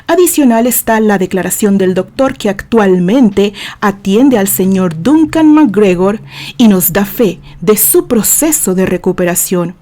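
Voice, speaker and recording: A woman's voice: medium pitch, expressive, a little slow, dark tone, natural voice. A woman voicing a composed male defense lawyer in an audio novel, questioning formally and pointedly. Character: calm, incisive male defense lawyer interrogating in court.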